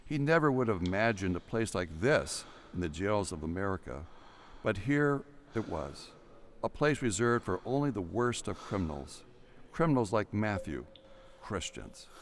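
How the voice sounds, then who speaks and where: Low-pitched male dramatic story narrator. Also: big pitch swings, normal speed, slight background noise.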